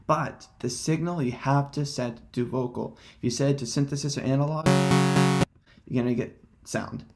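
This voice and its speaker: Man, gravelly creak, casual tutorial presenter, hesitant phrasing, unscripted. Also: mid-range voice, steady tone, moderate pace, over loud music.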